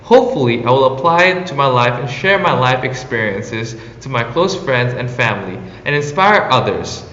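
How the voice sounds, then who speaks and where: A young Indonesian man speaks English with an Indonesian accent, his pitch rising and falling in a lively way. He reads an earnest, prepared reflection. The recording is roomy with a long echo and a slightly dull sound. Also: moderate pace, hardly any pauses. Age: young adult. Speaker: exchange intern. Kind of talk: scripted personal reflection.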